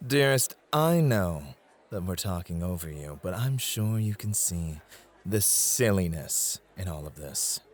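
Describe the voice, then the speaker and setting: A man playing a possessive, devoted club host in an ASMR roleplay, smooth-talking and condescending toward his rival. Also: low voice, very animated, slow, full character voice. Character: possessive, devoted male club host named Roy, courting a guest.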